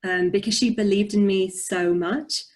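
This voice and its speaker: Young woman, slight Yorkshire accent, mid-pitched, reflective and soft, video call guest. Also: fairly even intonation, moderate pace. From north of England. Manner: soft-spoken, reflective, frequent ums. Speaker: poet and author. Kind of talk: conversational interview answers.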